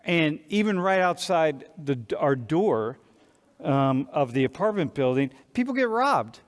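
Older male professor, lively lecturer, slightly high voice, reverberant lecture hall. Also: measured pace. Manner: animated, restless, gestures while pacing. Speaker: sociology professor. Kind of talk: classroom discussion lead.

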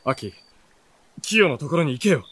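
An actor playing an earnest, caring young warrior companion with a husky voice in a radio drama. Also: male voice, on the high side, sing-song, normal speed, fairly bright tone, no room sound, exaggerated voice. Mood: surprised urging. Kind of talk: Japanese radio drama acting.

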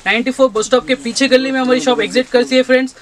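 A young man, a shop owner giving a brisk sales pitch for his goods and addressing viewers as friends again and again. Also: fairly even intonation, crowd noise. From India. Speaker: shop owner showing products. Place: textile shop, indoors.

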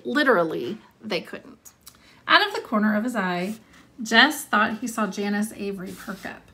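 A woman's voice: medium pitch, pushed and bright. A woman in her thirties, an elementary school teacher, reading aloud and explaining with questions to students, stressing key words. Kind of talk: read-along lesson with questions to students.

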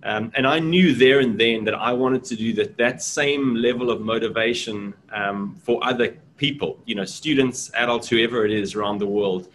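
A man's voice: mid-range voice, moderate pace, hardly any pauses, a bit bright, a little hiss or hum. A middle-aged man from South Africa, a school deputy principal, talking earnestly and reflectively in a casual interview over a video call. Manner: earnest, reflective, lots of fillers. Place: indoors, small room, video call. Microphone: video call webcam.

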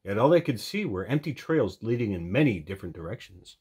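A man reading a children's storybook aloud as an animated storytime narrator. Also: lowish voice, fairly quick, breathy edge. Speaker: storytime reader.

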